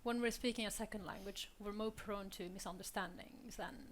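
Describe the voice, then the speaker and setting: A woman's voice: mid-range voice, fairly even intonation, brisk, slight background noise. A young woman from Switzerland speaking candidly on a panel, laughing often between points. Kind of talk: panel discussion.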